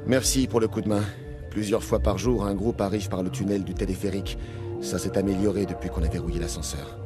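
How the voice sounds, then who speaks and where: An actor playing a weary, gruff security captain in a video game, speaking in a blunt, dry, colloquial way. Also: male voice, low voice, fairly even intonation, fairly quick, music in the background, close to an everyday voice. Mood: weary, sardonic. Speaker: guard captain.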